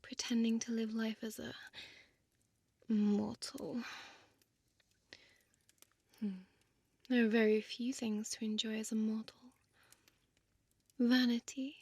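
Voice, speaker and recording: An elegant, predatory vampire woman, played by a female actor, whispers seductively in a breathy voice pitched a little high. She speaks very slowly with teasing, possessive formality, close and dry on the microphone like ASMR roleplay. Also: flat delivery, dry recording, heavily acted. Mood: teasing, vain. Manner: slow, deliberate, hushed, teasing formal speech. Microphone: close dry studio microphone.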